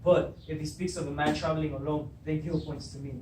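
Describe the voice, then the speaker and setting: Troubled, hot-tempered tragic king, played by a young man, higher male voice, stage readers theatre, distant mic with some noise. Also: fairly even intonation, brisk, darkish tone, close to an everyday voice. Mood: anguished. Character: troubled, hot-tempered king of Thebes, fearful and guilt-ridden. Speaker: student actor. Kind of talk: readers theatre stage acting of Greek tragedy. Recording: distant echoey room recording with background noise.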